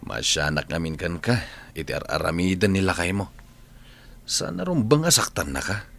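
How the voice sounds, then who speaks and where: A man as a low-voiced, devoted village godfather. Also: big pitch swings, moderate pace, vocal fry, fairly bright tone, heavily acted. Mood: tender, earnest. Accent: Filipino. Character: devoted male family friend, secretly lovestruck godfather. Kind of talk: Filipino radio drama acting.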